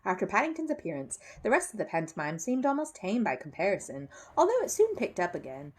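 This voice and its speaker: A woman narrates a children's book in an expressive read-aloud style. Her pitch moves freely, and her voice has a husky grain. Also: quick pace. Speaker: storyteller reading aloud.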